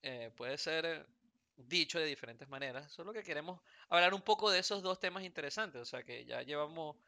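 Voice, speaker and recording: Gravelly bright Venezuelan man, joking host. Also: a little high, expressive, a little fast, dry recording, clean studio sound. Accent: Venezuelan Spanish. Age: 30s. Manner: joking, rambling with many fillers and restarts. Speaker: podcast host. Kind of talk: casual podcast chat. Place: Houston, United States.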